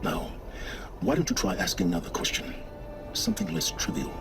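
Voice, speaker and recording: An actor playing a condescending, pompous middle-aged male scholar of ancient ruins, with measured, theatrical delivery in a video game. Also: deep voice, huge pitch swings, vocal fry, over music, heavily acted.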